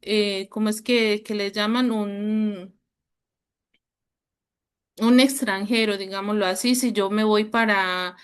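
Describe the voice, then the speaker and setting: A woman's voice: medium pitch, fairly even intonation, slow pace, pauses often, smooth. A woman sharing her experience in an online workshop, speaking hesitantly with many fillers and restarts over a video call. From Colombia. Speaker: workshop participant.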